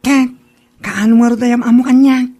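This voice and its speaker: A woman's voice: fairly high pitch, steady tone, normal speed, warm tone, natural voice. A woman acting a nosy, teasing young best friend in a comedy drama. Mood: teasing, amused.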